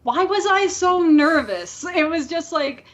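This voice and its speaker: Woman podcast host w a really high voice, just chatting, kinda animated when she tells stuff. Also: a little slow. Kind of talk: casual chat.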